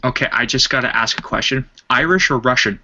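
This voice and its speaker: A man chatting on an online voice call, laying out his opinions in a measured way and at length. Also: mid-range voice, bright, forceful tone. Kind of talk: casual online voice chat. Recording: lo-fi call audio.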